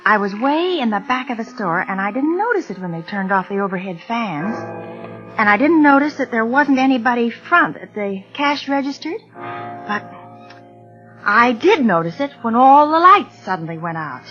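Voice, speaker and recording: A woman's voice: fairly high pitch, normal speed, lightly acted. An actress playing a flustered, chatty secretary who tells her story in old radio suspense style. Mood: rueful storytelling. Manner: conversational, expressive storytelling. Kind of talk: 1940s-50s American radio suspense acting. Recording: muffled vintage radio recording, music behind.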